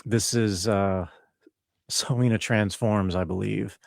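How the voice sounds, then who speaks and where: A man speaking as an interview guest, explaining his work in a casual, laid-back, slightly halting way. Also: lowish voice, steady tone, vocal fry, no room sound, clean studio sound. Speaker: interview guest, film composer.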